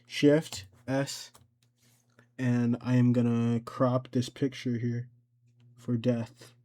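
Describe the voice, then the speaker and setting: A man giving an informal step-by-step software tutorial, thinking aloud and somewhat hesitant as he goes. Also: medium pitch, little pitch movement, slow. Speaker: tutorial host.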